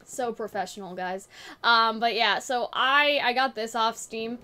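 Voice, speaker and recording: A woman hosting a gaming channel, chatting casually and reading aloud from a web page in an expressive way. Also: fairly high pitch, slow, clean tone.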